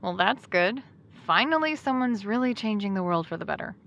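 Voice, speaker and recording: A woman's voice: mid-range voice, expressive, a little fast, creaky, a bit bright, highs cut off, a little hiss or hum. A woman playing a trusting, firmly decided best friend in an audio drama, talking in a casual, assured way that brushes off doubt. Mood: upbeat. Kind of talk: audio drama acting, conversational.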